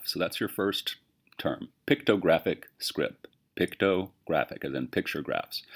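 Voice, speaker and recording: Deep-voiced male teacher lecturing. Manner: rhetorical questions, emphatic. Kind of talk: classroom lecture.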